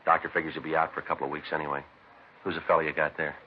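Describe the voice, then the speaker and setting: An actor playing a terse, weary police detective sergeant in a 1950s radio crime drama, clipped and matter-of-fact. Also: male voice, a bass voice, level tone, very fast. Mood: businesslike. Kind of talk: 1950s radio crime drama acting and first-person narration. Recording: noisy, muffled old radio recording.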